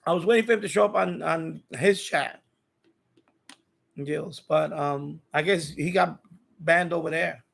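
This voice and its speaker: A man's voice: on the high side, unhurried, vocal fry, pauses often, a bit bright. A man hosting a casual live stream, talking informally to his chat in a rambling way and often repeating his phrases. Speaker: live stream host of a radio hobby channel.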